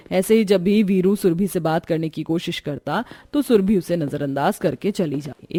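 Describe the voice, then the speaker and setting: A woman's voice: lowish voice, dark tone, dry recording. A woman reading a scripted moral story as its narrator.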